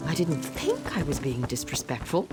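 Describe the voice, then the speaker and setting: A woman's voice: deep voice, wildly swooping pitch, moderate pace, loud music behind the voice. An actress playing a polite, flustered young female scholar in a video game. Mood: flustered, apologetic. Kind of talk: video game character acting.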